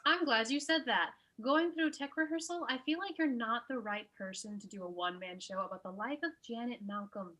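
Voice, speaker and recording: A woman's voice: fairly high pitch, fairly quick, hardly any pauses, fairly bright tone. A woman playing a busy, sensible lawyer, dry and matter-of-fact, in a comedy table read. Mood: wry.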